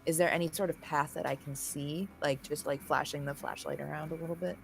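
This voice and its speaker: A woman's voice: low voice, steady tone, a little fast, vocal fry. A woman chatting casually as a player in a role-playing game, questioning and thinking aloud. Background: music in the background.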